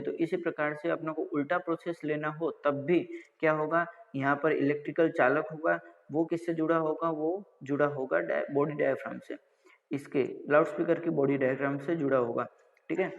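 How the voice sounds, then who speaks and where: A man's voice: fairly high pitch, fairly even intonation, normal speed, vocal fry. A male lecturer explains technical material didactically, asking and answering his own questions and often checking that listeners follow. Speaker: college lecturer. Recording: dull lo-fi audio with long reverb.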